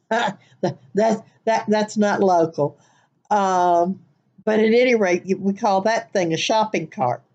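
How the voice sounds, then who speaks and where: A woman's voice: medium pitch, takes it slowly, darkish tone, dull audio. An older woman with a mixed Southern and Wisconsin nasal accent, chatting casually and a bit rambly to her webcam from her living room couch. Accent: Southern US mixed with Wisconsin nasal twang. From American Midwest. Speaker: vlogger. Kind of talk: casual chat, accent tag video.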